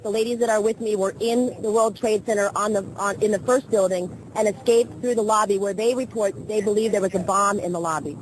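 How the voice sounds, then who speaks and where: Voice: mid-range voice, steady tone, a little fast, hardly any pauses, background noise. A person giving a spontaneous eyewitness account in an interview.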